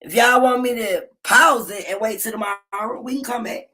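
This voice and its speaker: High-voiced woman livestream host, brash, cussing, storytelling. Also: moderate pace, husky. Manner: loud, profane, animated storytelling. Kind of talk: casual livestream commentary. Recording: low-quality phone stream.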